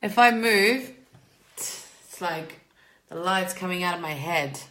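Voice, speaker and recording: A woman's voice: lowish voice, slow pace, pushed and bright. A German-born woman, a native German speaker with a mixed English and Australian accent, chatting informally and a little sweary as she hosts a casual livestream and greets viewers. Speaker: host, mindset coach.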